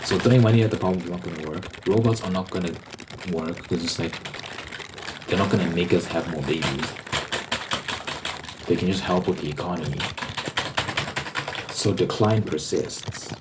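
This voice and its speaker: A man hosting a rambling, opinionated ASMR monologue, haltingly repeating phrases for emphasis. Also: deep voice, heavy background noise. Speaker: ASMR host.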